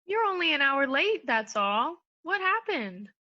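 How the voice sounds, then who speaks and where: Impatient, scolding young woman actress, high and animated, vintage radio drama, slightly dull recording. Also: measured pace. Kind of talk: 1940s-style radio play acting.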